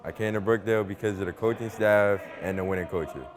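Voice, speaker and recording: A young male athlete from New Jersey gives a brief testimonial about himself. He has a deep, low-pitched voice. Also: fast. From New Jersey, USA. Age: young adult. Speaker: college basketball player. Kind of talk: self-introduction testimonial.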